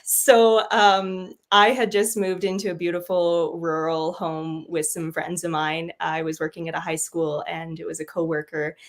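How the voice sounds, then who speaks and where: Cheerful woman from Canada, lower voice. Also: little pitch movement, measured pace, few pauses. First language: sign language. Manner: cheerful storytelling, laughs easily. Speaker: musician guest. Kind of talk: casual interview chat.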